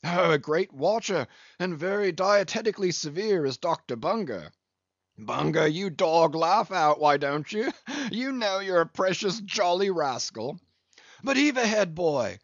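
Jolly loud english sea captain in an audiobook, pitched way up, swoops around, hearty n teasing. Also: male voice, expressive, unhurried, dry recording, muffled recording, full character voice. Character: boisterous, jolly one-armed English whaling captain.

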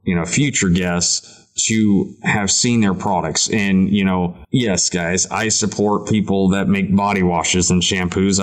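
Low-voiced man hosting a casual podcast. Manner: earnest, halting, repeats words. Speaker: podcast host.

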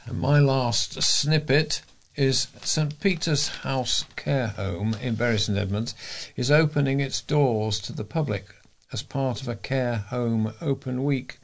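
A man's voice: medium pitch, measured pace, creaky. A man reading local news articles aloud as a volunteer reader, in a formal delivery.

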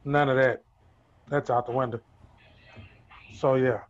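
A man's voice: medium pitch, flat delivery, unhurried, dull audio. A man in his thirties chatting casually and joking in a book discussion group. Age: 30s. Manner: playful, joking, laughs often. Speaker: participant. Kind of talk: casual chat.

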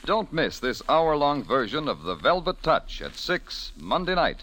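A man's voice: fairly high pitch, sing-song, unhurried, sharp tone, low-quality recording. An American man working as a radio announcer, reading a script in 1940s broadcast style. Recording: vintage radio recording.

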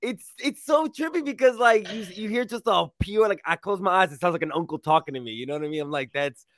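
A man from New York hosting a podcast, talking casually and excitedly, often repeating and restarting words. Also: sits high, quick pace, dry recording. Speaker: podcast host.

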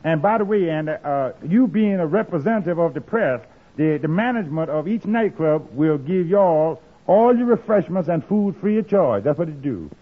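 High, husky radio newspaperman. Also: lively intonation, normal speed. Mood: explanatory. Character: businesslike newspaperman named Fred. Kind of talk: 1940s radio comedy acting. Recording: muffled old radio broadcast.

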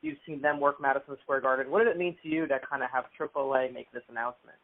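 A man's voice: mid-range voice, fairly even intonation, fast talker, narrow, tinny audio. A man interviewing a guest over the phone, asking long rambling questions. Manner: rambling, filler-heavy questions.

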